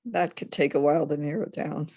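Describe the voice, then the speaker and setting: A woman acts out a sardonic teenage friend in a film drama read-through. The voice sits fairly low and sounds close and dry, with a teasing edge. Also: fairly quick, dark tone, breathy edge, dry recording. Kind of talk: table read of a film script.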